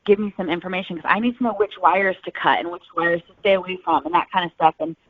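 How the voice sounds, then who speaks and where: A woman's voice: on the low side, fast talker, dry recording. A woman novelist chatting casually as a podcast guest over a call. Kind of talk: casual interview chat. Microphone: phone or online call. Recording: tinny phone-like line, dry.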